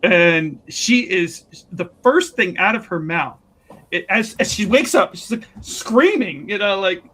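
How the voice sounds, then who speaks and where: A tabletop roleplaying player casually improvising narration of a character's actions, animated and giggly, often rephrasing midway. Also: mid-range voice, measured pace. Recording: clear background noise.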